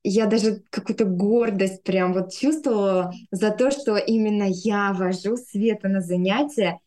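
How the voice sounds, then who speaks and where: A woman's voice: mid-range voice, fairly even intonation, unhurried, mellow. A woman in her thirties speaking conversationally as an interview guest, telling personal stories. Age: 30s. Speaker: podcast guest, speech therapist.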